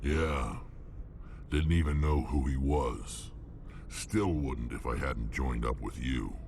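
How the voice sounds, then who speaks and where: An actor playing a gruff, hulking alien mercenary in a video game, blunt and menacing, with a gravelly rumble. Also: male voice, slow pace, a little mellow, full character voice. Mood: blunt, wary. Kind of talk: video game character dialogue.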